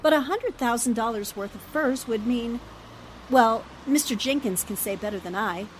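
An actress playing a shrewd, confident newspaper sleuth, brisk and emphatic, in a 1940s-style radio drama. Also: female voice, fairly high pitch, slight background noise, natural voice, rough recording. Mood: confident, deducing.

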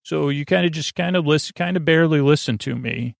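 Gravelly, rambling man hosting a sleep podcast. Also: a little high, no room sound, clean studio sound. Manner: meandering, halting, trailing off mid-thought; goofy, whimsical. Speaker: podcast host.

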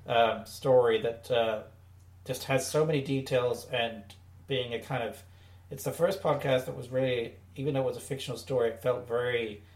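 A man co-hosting a podcast, chatting casually and giving his recommendations. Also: medium pitch. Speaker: podcast co-host. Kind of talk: casual chat reviewing audio dramas. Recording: roomy, echoey indoor sound.

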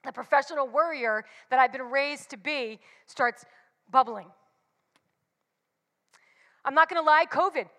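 Woman, forties, high and bright, candid church speaker, long reverb. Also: measured pace, pauses often. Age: 40s. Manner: candid and confessional, emphatic repetitions. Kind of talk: personal devotional talk.

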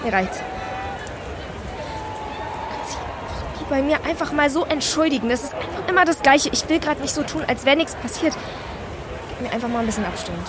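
An actress playing a rattled, irritable young activist in a naturalistic audio drama, speaking bluntly and haltingly in everyday language. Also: female voice, high voice, expressive, a crowd in the background, noisy background. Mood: angry, upset.

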